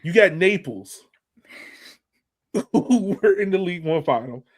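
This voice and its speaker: A man's voice: sits high, lively intonation, measured pace. A man co-hosting a sports podcast in casual fan chat, talking emphatically and repeating phrases to drive points home.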